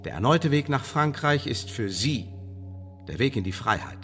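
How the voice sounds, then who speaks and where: An actor playing a coolly menacing English intelligence official in a radio play, speaking in formal, measured, condescending bureaucratic phrases. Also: male voice, medium pitch, animated, a bit bright, faint music behind, close to an everyday voice. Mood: quietly menacing. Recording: studio radio play with long reverb.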